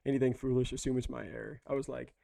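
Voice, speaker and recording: Hoarse man, gravelly, very dark tone, flat delivery, casual book chat, dry sound. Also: medium pitch, quick pace, no echo. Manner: rambling, conversational, lots of fillers. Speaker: book club host.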